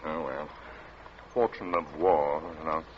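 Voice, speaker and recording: An actor playing a bluff, drily joking captain in a radio drama, breezy and clipped, talking in short dropped-pronoun phrases. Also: male voice, very deep voice, fairly even intonation, measured pace. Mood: wry, rueful. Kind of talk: 1940s radio drama acting. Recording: muffled, noisy old radio recording.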